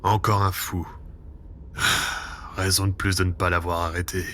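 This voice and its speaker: A man's voice: low voice, little pitch movement, moderate pace, bright, forceful tone, a little hiss or hum. A man playing a cold, scolding intelligence chief in a spy audio drama, speaking formally and curtly. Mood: stern, reproachful. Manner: formal, curt, reproachful.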